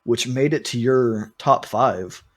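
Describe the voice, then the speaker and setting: A man's voice: medium pitch, slow. A man hosting a podcast in a casual, rambling and informal way, talking through music with frequent asides. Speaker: podcast host. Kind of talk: casual album discussion.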